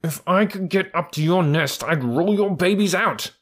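A man's voice: high-pitched, normal speed, pitched above the performer's own voice. Man doing a fierce little mongoose hero. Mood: impatient, urgent. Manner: sharp, urgent and commanding. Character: small brave male mongoose, fierce and determined.

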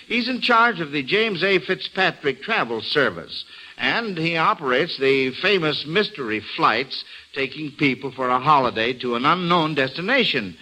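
A man's voice: a little high, pushed and bright, low-quality recording. A man hosting a classic radio quiz show for children, with folksy exclamations and theatrical scene-setting on an old broadcast recording.

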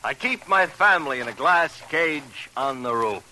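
A man's voice: a little high, animated, moderate pace, lightly acted. An actor playing an effusive, evasive retired crook who dotes on his pigeons and repeats his words, in an old radio crime drama. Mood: proud, doting. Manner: effusive, repeats words, coos over birds. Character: jovial, slippery ex-con pigeon fancier, doting and evasive. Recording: noisy old radio recording.

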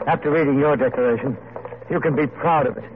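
A noisy, narrow-band vintage radio recording. An actor plays an ardent Revolutionary writer, a mid-pitched man who is courteous, idealistic and full of conviction, in classic radio drama style. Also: fast, close to an everyday voice. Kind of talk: old-time radio drama acting. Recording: noisy, tinny old radio broadcast.

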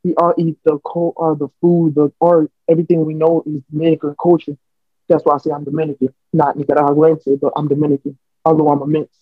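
A young man with an American accent, talking through his thoughts in an earnest, rambling way and restarting often. His voice sits fairly high but stays flat and even. The recording is dull and dry, like a home podcast setup. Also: fast, breathy edge, dry recording. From United States. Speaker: podcast co-host. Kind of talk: casual chat.

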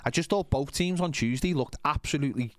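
A man's voice: on the high side, brisk, no room sound, clean studio sound. Opinionated man in casual football chat. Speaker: football podcast panellist.

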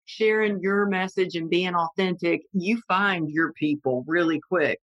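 A woman's voice: lowish voice, a little slow, no room sound, dull audio. A woman with a Texas accent hosting an interview, reflective and encouraging as she asks questions. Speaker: podcast host. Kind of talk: interview conversation.

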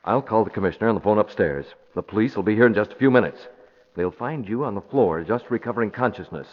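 An actor playing a resolute masked vigilante in 1940s radio adventure style, giving brisk, authoritative orders. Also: male voice, on the low side, expressive. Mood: reassuring, brisk. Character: resolute masked male vigilante, cool and commanding. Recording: muffled, hissy old radio recording.

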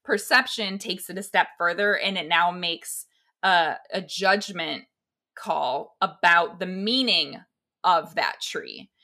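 A woman's voice: lowish voice, takes it slowly, pushed and bright. A woman co-hosting a podcast, chatting casually and explaining ideas step by step with simple examples. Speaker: podcast co-host.

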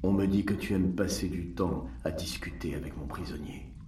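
An actor playing a cold, menacing Roman leader in a French audio drama, speaking imperiously as if interrogating. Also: male voice, low voice, moderate pace, mellow, roomy sound, far from the mic, slight background noise, natural voice. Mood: cold, accusing.

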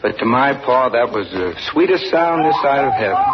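An actor playing a young farm son who narrates in a homespun, folksy way in a 1940s radio play. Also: male voice, medium pitch, sing-song, moderate pace, heavy background noise, close to an everyday voice. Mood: wistful. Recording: dull old radio broadcast.

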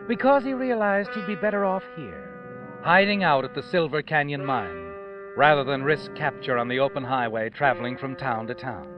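An actor playing an ominous, knowing radio narrator, telling the story dramatically and insinuatingly in 1940s style. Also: male voice, mid-range voice, normal speed, lightly acted. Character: ominous, knowing narrator who taunts the protagonist. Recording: dull vintage radio recording. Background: dramatic music.

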